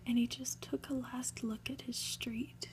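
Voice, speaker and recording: A woman reads a storybook aloud for ASMR in a soft, breathy, gentle whisper, with deliberate, unhurried phrasing. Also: fairly high pitch, little pitch movement. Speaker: ASMR reader.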